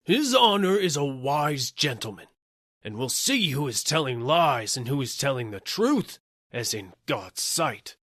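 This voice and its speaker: An indignant working man acted by a male narrator pleads his case in a storybook. His voice is pushed high and swings widely as he whines and wheedles. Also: very animated, unhurried, fairly bright tone, no echo, clean studio sound. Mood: indignant, wheedling. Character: aggrieved, whining male workman seeking damages. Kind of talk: audiobook character acting.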